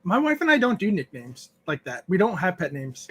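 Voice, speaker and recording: A man's voice: high voice, big pitch swings, fairly quick, a bit bright, slightly rough. A man in his thirties hosting a casual chat, joking and laughing often. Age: 30s. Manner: joking, laughs often, does quoted voices. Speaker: host.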